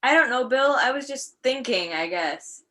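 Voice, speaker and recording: Woman doing worried small town wife in amateur play, highish voice, folksy n defensive. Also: lively intonation, normal speed, pushed and bright, full character voice. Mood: pleading, defensive. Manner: pleading, folksy. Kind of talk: amateur one-act play acting. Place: filmed on a video call.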